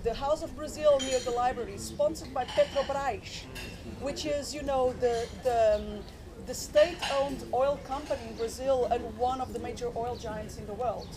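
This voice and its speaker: A woman's voice: high-pitched, steady tone, moderate pace, a crowd in the background. A woman from Portugal speaking up from the audience at a public forum, asking an earnest, academic question with many fillers and restarts.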